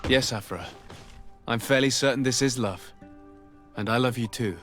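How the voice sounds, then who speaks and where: An actor playing a courteous, gentle young envoy, the hero of a video game, speaking politely and with composure. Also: male voice, medium pitch, normal speed, close to an everyday voice. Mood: tender. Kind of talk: video game dialogue acting. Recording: music behind the voice.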